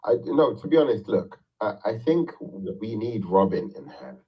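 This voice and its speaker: A man's voice: fairly low pitch, expressive, normal speed, husky, far from the mic, highs cut off. A man chatting casually as co-host, brash and swearing freely, laughing often. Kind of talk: casual chat.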